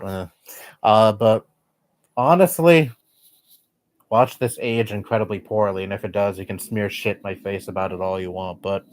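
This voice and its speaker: Young male streamer, low-pitched, blunt chatty rambling, dry close mic. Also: darkish tone, no room sound. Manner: blunt, swears often, rambling opinions. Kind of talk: casual live-stream chat.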